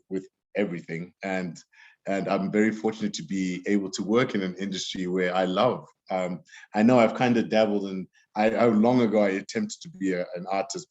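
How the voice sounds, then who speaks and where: A man's voice: low-pitched, a little mellow. A man from Zimbabwe, a guest in his thirties, talking casually in an interview and often restarting his sentences with fillers. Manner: rambling, many restarts and fillers. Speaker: interview guest, music executive. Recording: dull lo-fi sound.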